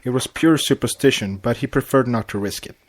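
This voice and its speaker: A man's voice: mid-range voice, a little fast. Man narrating a story aloud. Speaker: podcast host reading fan fiction aloud. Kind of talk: storybook narration with acted dialogue.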